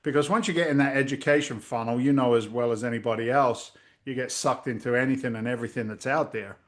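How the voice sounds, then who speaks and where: A middle-aged man, a real estate investor telling stories in a casual interview over a video call, lively and blunt, acting out voices. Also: mid-range voice, fast. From Massachusetts. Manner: animated storyteller, acts out quoted voices, swears casually.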